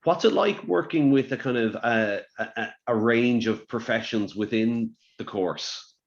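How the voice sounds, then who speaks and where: A man's voice: medium pitch, a little slow. A man hosting a webinar interview over a video call, chatty, often repeating words and saying "you know". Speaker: webinar host. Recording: dull lo-fi call audio.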